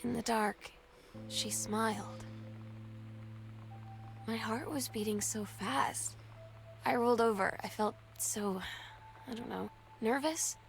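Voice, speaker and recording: Teenage girl diarist for a narrative game: slightly high, unhurried, heartfelt and confessional. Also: fairly even intonation, gravelly. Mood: tender, nervous. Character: earnest, lovestruck teenage girl confiding a secret romance. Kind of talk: intimate diary-style game narration. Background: music behind the voice.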